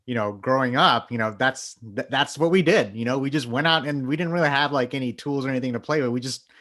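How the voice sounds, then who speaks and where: A man from Bangladesh hosts an interview, friendly and easygoing, laughing readily. He talks quickly, with loose fillers. His pitch sits in the middle. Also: a bit bright. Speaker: interview host. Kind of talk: casual interview chat.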